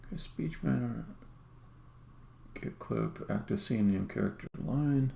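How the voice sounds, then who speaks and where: A game developer live-streaming code, thinking aloud casually in halting, muttered fragments. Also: medium pitch, animated, gravelly, dark tone. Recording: roomy echo, faint background noise. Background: computer keyboard typing and clicking.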